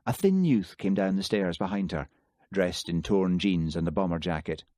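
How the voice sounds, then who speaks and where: A man narrating an audiobook in a measured, even storytelling manner. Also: low voice, no echo, studio quality. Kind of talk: scripted narration.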